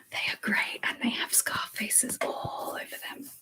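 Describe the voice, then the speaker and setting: A woman hosting a whispered ASMR live chat, reading viewer comments aloud and softly repeating phrases over and over. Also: poor audio. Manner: reads viewer comments aloud and answers them. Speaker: ASMR livestream host.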